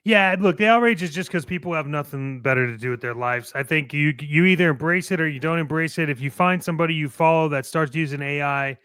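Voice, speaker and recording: A man's voice: fairly high pitch, quick pace, few pauses, fairly bright tone, smooth, no echo. A man co-hosting a show, giving casual opinions in a blunt, emphatic way with some swearing. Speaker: co-host of a toy collecting show.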